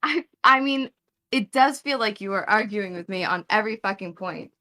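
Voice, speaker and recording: Exasperated bright-voiced woman in her thirties. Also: medium pitch, expressive, normal speed. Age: 30s. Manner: exasperated, confrontational, swears, talks over him. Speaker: debate guest. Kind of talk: heated online debate.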